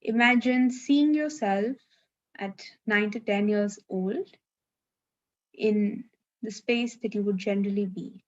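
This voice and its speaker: Woman, meditation instructor, slow unhurried delivery, gentle guidance, long pauses, muffled online-call sound. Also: medium pitch. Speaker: meditation guide. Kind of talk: live guided meditation. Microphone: video call.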